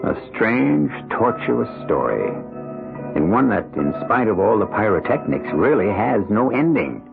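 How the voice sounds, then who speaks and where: A man's voice: on the low side, very animated, measured pace, creaky. A man hosting an old radio drama with theatrical scripted narration. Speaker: host narrator. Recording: narrowband old radio recording, loud music. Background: loud music.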